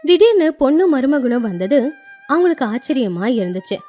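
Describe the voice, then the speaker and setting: Woman storyteller, higher-pitched, reading scripted village moral tales with music behind her. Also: big pitch swings, moderate pace, dark tone. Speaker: storyteller narrator.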